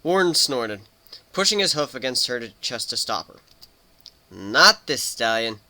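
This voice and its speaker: A man's voice: medium pitch, expressive, moderate pace, pushed and bright. A man narrating a story as a scripted dramatic reading.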